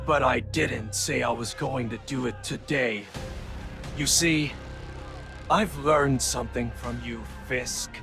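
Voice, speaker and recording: An actor playing a vengeful, menacing superhero in a comic-book drama, speaking with deliberate, measured pauses and a gravelly edge. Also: male voice, medium pitch. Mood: menacing, contemptuous. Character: vengeful, menacing male superhero threatening his enemy. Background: music.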